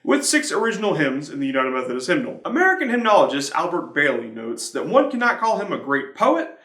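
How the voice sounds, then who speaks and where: A man's voice: fairly high pitch, very animated, brisk, fairly bright tone, echoey room. A man presenting a church devotional, reading from a prepared script.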